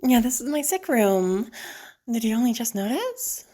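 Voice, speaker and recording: A woman playing a deranged, possessive asylum patient in a roleplay audio drama, teasing and halting as she talks, with casual swearing. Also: fairly high pitch, sing-song, warm tone. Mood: playfully unhinged. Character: deranged female asylum patient, possessive, flirty, playfully menacing.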